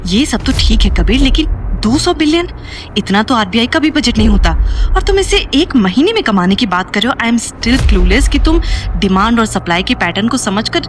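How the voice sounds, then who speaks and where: A woman's voice: on the high side, expressive, moderate pace, lightly acted. A woman playing a worried, questioning young woman in an audio drama, mixing in English phrases. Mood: doubtful. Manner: anxious, questioning, mixes in English. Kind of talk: Hindi audio drama acting. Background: background music.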